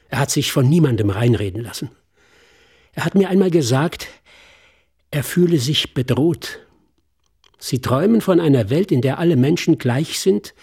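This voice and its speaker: A self-assured stage hypnotist in a radio drama, musing and deliberate. The voice is mellow and husky at a medium pitch, with a wistful edge. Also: male voice. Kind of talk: German radio drama acting.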